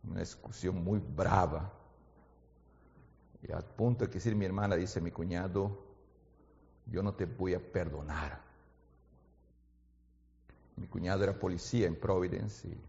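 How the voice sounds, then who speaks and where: A man preaching a sermon in a language that is not his first one, as his native Portuguese slips in. He shifts between quiet, intimate talk and loud, fervent exhortation, and keeps asking his listeners questions. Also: deep voice, creaky, long reverb tail, dull audio. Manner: asks the audience frequent questions.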